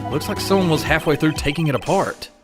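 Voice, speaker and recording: Earnest, jumpy young male lawyer played by a man, widely swinging pitch, dramatic game dub, music underneath. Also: animated, fast talker, close to an everyday voice. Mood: pensive. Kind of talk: dramatic game fandub, inner monologue and dialogue.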